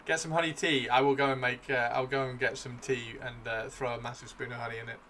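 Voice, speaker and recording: A man hosting a casual live stream, chatting excitably and repeating his phrases, with his voice starting to give out. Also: medium pitch, fast, a little hiss or hum. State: losing his voice. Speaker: livestream host. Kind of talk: casual live stream chat while painting miniatures.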